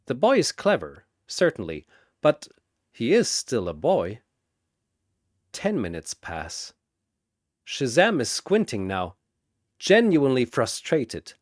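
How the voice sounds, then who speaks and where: A man's voice: mid-range voice, huge pitch swings, slow, long pauses, no echo, studio quality. A man reading scripted fantasy battle narration in a dramatic announcer style.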